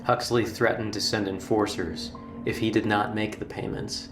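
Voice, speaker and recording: A man narrating a scripted horror documentary in a measured, formal storytelling manner. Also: fairly low pitch, flat delivery. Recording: roomy, distant playback with music. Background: background music.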